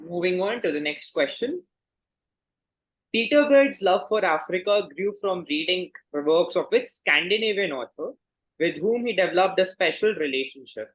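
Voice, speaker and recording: A man hosting a quiz, reading questions and announcing results in a courteous, formal, measured way. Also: sits high, animated, a bit bright, low-quality recording.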